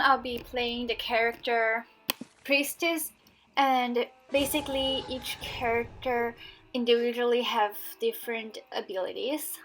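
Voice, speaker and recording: Young woman gamer, Cantonese accent, slightly high voice, rambling storytime over game music. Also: bright, forceful tone, low-quality recording. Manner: chatty, with fillers and restarts. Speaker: gaming vlogger. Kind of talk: casual storytime over gameplay.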